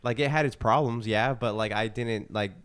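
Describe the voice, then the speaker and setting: A man's voice: medium pitch, normal speed, fairly bright tone, clean tone. A man talking casually about games in a group conversation. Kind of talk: casual gaming chat.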